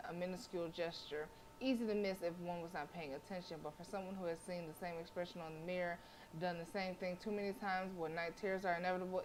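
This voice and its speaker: A woman's voice: fairly low pitch, slight background noise, rough recording. A woman livestreamer reading a visual novel aloud dramatically, with casual asides and stumbles she corrects as she goes.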